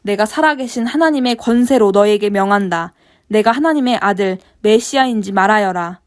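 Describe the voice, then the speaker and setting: A woman reading a stern high priest. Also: normal speed, lightly acted. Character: stern high priest, older man, accusing. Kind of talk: scripture reading.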